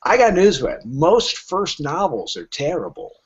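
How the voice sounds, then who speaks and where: Animated, frank middle-aged man, dull lo-fi sound. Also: on the high side, moderate pace, husky. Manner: frank, emphatic, acts out imagined dialogue. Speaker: literary agent interviewed as a podcast guest. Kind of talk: conversational interview answers. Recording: dull lo-fi podcast audio.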